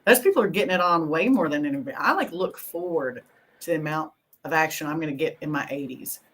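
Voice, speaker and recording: A woman in her fifties from Texas trades crude jokes in loose podcast chat. Her voice sits a little low, and she rambles along in easy, joking asides. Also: lively intonation, quick pace, fairly bright tone. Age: 50s. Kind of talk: casual podcast banter.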